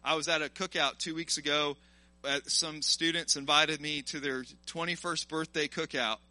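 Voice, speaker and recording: A man in his early forties preaching an informal sermon in an animated, colloquial, exhorting way. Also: on the high side, moderate pace, dry recording. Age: early 40s. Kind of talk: informal sermon to a live congregation, with personal testimony.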